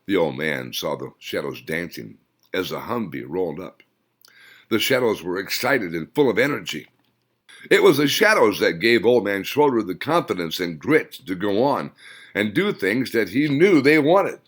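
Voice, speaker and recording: A man reads his own novel aloud as an audiobook narrator. His voice sits low and the intonation swings widely. The reading is expressive and dramatic. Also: normal speed. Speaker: author and narrator.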